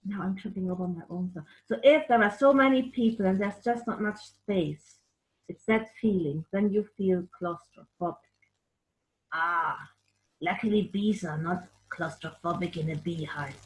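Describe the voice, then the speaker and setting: A woman telling a children's story in an animated, explanatory way, with playful asides to a puppet. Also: lowish voice, normal speed, low-quality recording. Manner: animated, explanatory, makes playful sound effects.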